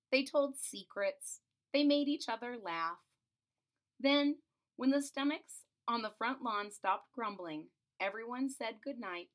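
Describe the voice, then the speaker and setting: A woman reading a children's storybook aloud expressively, drawing out phrases and adding explanatory asides about the pictures. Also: fairly high pitch. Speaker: storybook reader and channel host.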